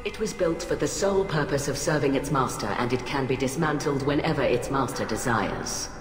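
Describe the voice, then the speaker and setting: An actress playing a cold, haughty alien admiral in a video game, speaking in a clipped, formal, condescending way. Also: female voice, rumbling low, brisk. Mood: haughty conviction. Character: cold, haughty alien admiral, female, middle-aged scientist, ruthlessly rational. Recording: echoey hall reverb, music behind.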